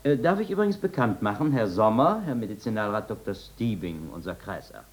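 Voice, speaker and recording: Brisk, lilting, courteous doctor. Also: male voice, on the low side, lively intonation, breathy edge. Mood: fussily polite. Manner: oily politeness, hesitant, formal. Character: courteous, fussy, condescending male doctor. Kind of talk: 1950s German radio drama acting.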